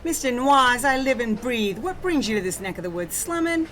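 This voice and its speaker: A woman playing a sassy diner waitress in a campy radio play, wisecracking and loudly slangy. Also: fairly high pitch, lively intonation, fairly quick, natural voice. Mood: teasing, familiar. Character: brassy, sassy diner waitress hollering orders. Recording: diner ambience noise behind.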